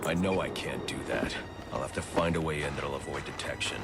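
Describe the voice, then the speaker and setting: Very deep, gravelly brooding vigilante. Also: male voice, fairly quick. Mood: calm, resigned. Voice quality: gravelly, low. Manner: terse, grave, commanding. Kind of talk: video game cutscene acting. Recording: loud music behind the voice. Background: loud music.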